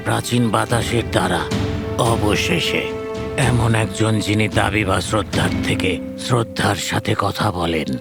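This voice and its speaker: Ancient magical guardian: heavy creak, grave, formal, benevolent, cartoon fairy tale over clear music. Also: male voice, fairly low pitch. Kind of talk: animated fairy-tale dialogue.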